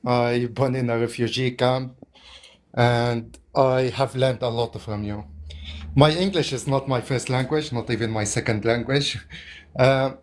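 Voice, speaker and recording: A man from Palestine who speaks English as a later language and talks to a live-stream host in an earnest, halting way. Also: mid-range voice, steady tone. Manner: earnest and halting, non-native phrasing. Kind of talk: casual live-stream chat.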